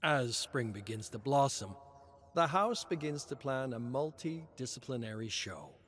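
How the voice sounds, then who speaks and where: Slow, expressive male storyteller. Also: medium pitch. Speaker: lore narrator. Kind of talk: storytelling narration.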